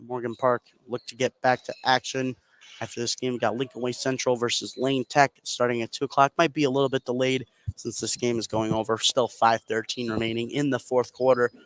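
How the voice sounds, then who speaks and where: A man calling live basketball play-by-play from courtside, excitable and ready to raise his voice on big plays. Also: medium pitch. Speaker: play-by-play announcer. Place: courtside in a gym. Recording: clear background noise on live broadcast.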